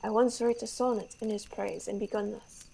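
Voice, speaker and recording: A woman's voice: medium pitch, steady tone, moderate pace, gravelly, dark tone, husky, poor audio. A young woman acting a vain, horse-besotted young French prince in a Shakespeare radio play, grandiose and declamatory. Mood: lofty praise.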